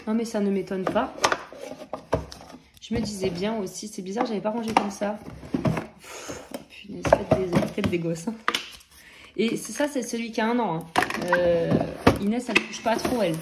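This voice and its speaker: A woman's voice: mid-range voice, normal speed, pauses often, very noisy. A French woman in her thirties with a cold, chatting casually in a home vlog and rambling with many fillers and restarts. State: has a cold. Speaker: coloring vlogger. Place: home office room.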